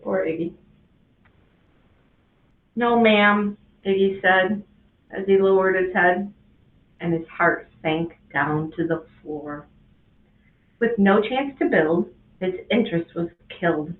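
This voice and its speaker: A woman reading a rhyming picture book aloud to children as a guest reader, with an expressive, sing-song delivery. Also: lowish voice, measured pace. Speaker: guest reader, school administrator. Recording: tinny, echoey, from a distance.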